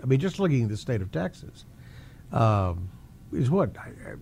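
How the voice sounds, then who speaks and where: A man's voice: mid-range voice, very animated, moderate pace, vocal fry, a little mellow, slight background noise. A man hosting a radio interview, chatting loosely with ums and restarts.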